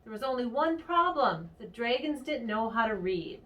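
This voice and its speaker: A woman's voice: on the high side, expressive, normal speed, a bit bright. Woman reading aloud, slightly distant. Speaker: storybook reader. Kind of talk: read-aloud children's story narration. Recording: distant mic.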